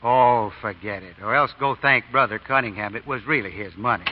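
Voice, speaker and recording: A man's voice: lowish voice, a little fast, background noise, lightly acted. A man playing a sly, kindly cardsharp deacon with a drawling American voice in an old radio drama. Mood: wistful. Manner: folksy, drawling, feigned innocence. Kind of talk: 1940s radio acting. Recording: dull old radio broadcast recording.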